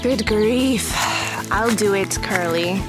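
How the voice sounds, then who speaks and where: A woman playing a serious, grumpy teenage girl with a dry, deadpan manner in an audio drama. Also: medium pitch, slow, over music, lightly acted. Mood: dry, irritated.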